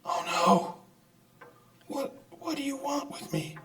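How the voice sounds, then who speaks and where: A man's voice: extremely high pitch, lively intonation, a bit bright, exaggerated voice. A man playing a crotchety, stubborn old miser who stammers and exclaims in an old-time radio drama. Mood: fearful. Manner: halting, exclamatory, stammering in fright.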